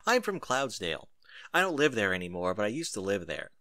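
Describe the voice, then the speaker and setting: A brash young pegasus mare from a cartoon fan story, as a male reader plays her. She talks bluntly and casually, with animated pitch and a bright tone. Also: brisk, no echo, clean studio sound. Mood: shy, friendly.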